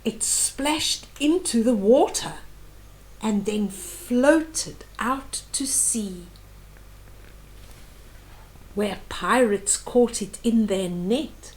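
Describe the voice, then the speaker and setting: A woman reading a children's story aloud with animated, dramatic emphasis and explanatory asides. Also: on the high side, very slow, poor audio.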